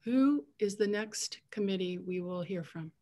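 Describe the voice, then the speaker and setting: A woman's voice: mid-range voice, unhurried, dark tone. A middle-aged woman making casual interjections as a council member on a video call.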